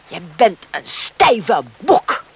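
A protective, middle-aged mother in a radio drama. Her voice is high and very animated, with slow, deliberate pacing. She scolds in plain, emphatic words, full of indignation. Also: creaky, breathy edge, exaggerated voice. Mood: angry, scornful. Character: indignant, protective island mother confronting her son's would-be father-in-law. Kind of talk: Dutch radio drama acting.

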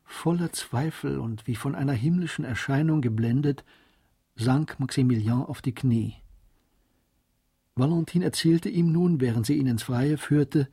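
A man narrating a literary German radio drama in a measured, composed storytelling manner. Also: mid-range voice, mellow, slightly rough, no echo, clean studio sound.

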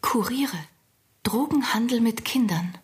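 An actress playing an alert police colleague in a radio crime drama, matter-of-fact, precise and inquisitive. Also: female voice, on the high side, a little slow, breathy edge. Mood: inquiring.

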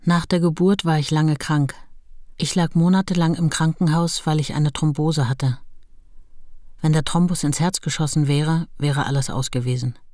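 A woman's voice: low voice, steady tone, normal speed, warm tone, no echo, clean studio sound, natural voice. A woman playing a writer's long-suffering wife, recalling their life plainly and with restraint in a radio drama. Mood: sorrowful, restrained. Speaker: actress in a radio play. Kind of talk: documentary-like radio drama recollection.

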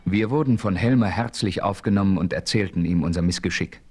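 An actor as a composed western adventurer, telling his own story in a German radio play with measured narration. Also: low-pitched, a little mellow, faint music behind, lightly acted. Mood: composed, narrating. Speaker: first-person narrator.

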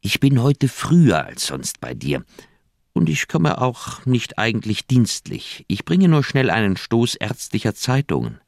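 An actor playing an earnest, insistent middle-aged doctor in a radio crime drama, speaking in an emphatic, persuasive way. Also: male voice, medium pitch, big pitch swings, unhurried, gravelly, dry recording, clean studio sound. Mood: eager, casual.

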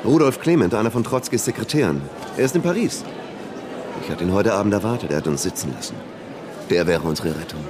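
An actor playing a flamboyant, impulsive surrealist poet in a radio play, talking theatrically and urging others on. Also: male voice, on the low side, expressive, quick pace, music in the background, a crowd in the background, close to an everyday voice. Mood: disappointed.

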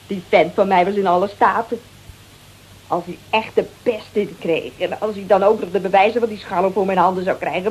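A woman's voice: medium pitch, darkish tone, breathy edge, natural voice, rough recording. An actress in a radio play, playing a brash, coarse-tongued married woman confessing under threat in hesitant, colloquial speech. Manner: hesitant, colloquial, peppered with little tags. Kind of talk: Dutch radio play dialogue.

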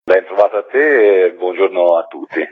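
A man's voice: deep voice, moderate pace, husky. A man being interviewed as a guest, courteously and articulately explaining a project. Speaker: guest, theatre company artistic director. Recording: muffled, narrow telephone line into radio.

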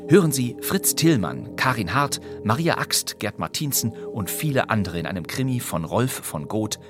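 A man's voice: mid-range voice, very animated, moderate pace, over music. A middle-aged man hosting a podcast and engagingly introducing an old radio crime play. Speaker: podcast host.

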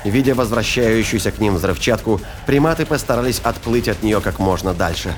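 A man's voice: on the low side, expressive, brisk. A man narrating a scripted action story as an audio drama narrator. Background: music and sound effects.

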